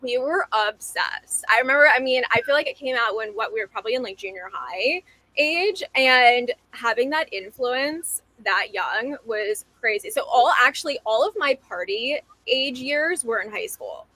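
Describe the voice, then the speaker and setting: A woman's voice: on the high side, animated, normal speed, sharp tone, no room sound, poor audio. A woman in her twenties from New Jersey, chatting and laughing easily on a speed date. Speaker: dating show contestant. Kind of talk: casual speed-date chat.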